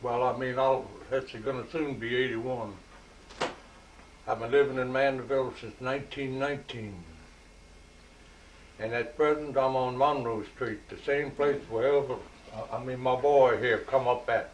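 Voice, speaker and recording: A man's voice: medium pitch, fairly even intonation, normal speed. Rambling old man, distant mic. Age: 80s. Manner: rambling, self-correcting. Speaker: group member introducing himself. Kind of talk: casual spoken memoir. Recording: distant mic with background noise.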